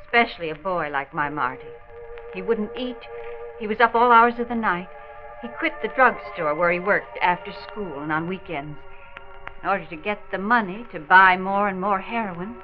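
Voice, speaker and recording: A woman's voice: on the low side, lightly acted. An actress playing a distressed, earnest mother of a teenage son, in a grave 1940s radio drama style. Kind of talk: dramatic first-person narration, 1940s radio acting. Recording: dull lo-fi old radio recording.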